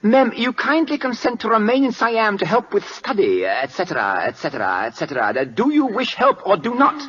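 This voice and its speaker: An imperious, temperamental Siamese king in an old radio drama, on a muffled vintage recording. His highish voice swoops dramatically as he speaks pompous, clipped broken English with a Siamese accent. He is hungry to know everything and used to being obeyed. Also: wildly swooping pitch, moderate pace, a bit bright, husky, heavily acted. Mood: demanding, inquisitive. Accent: put-on Siamese. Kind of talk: 1940s American radio acting.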